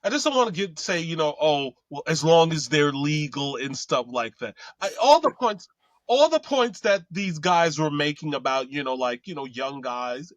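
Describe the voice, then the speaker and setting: Someone chatting casually in a halting way, often repeating words. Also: medium pitch, expressive, no echo. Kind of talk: casual chat.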